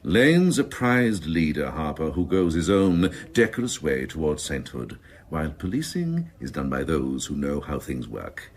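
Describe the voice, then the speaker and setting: A senior detective in a radio crime drama, cynical and grandly pompous. His voice is extremely deep and gravelly, and it swings expressively through each sardonic pronouncement. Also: very animated, measured pace, hardly any pauses, heavily acted. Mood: lofty scorn. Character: cynical, ruthless, pompous senior male police officer.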